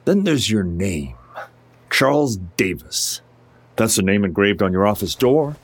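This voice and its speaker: Swaggering ex-soldier, blunt, taunting, deep-ish, lively intonation, dry recording, audio drama. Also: male voice, measured pace, no echo, exaggerated voice. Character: cocky, needling, foul-mouthed ex-Marine tough guy.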